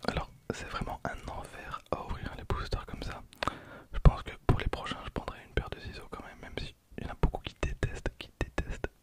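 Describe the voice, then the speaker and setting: Whispering young man doing ASMR. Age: 20s. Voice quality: breathy unvoiced whisper. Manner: soft, unhurried, repeats phrases. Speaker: ASMR creator and host. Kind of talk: whispered ASMR card-opening commentary. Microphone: close handheld-style stereo recorder.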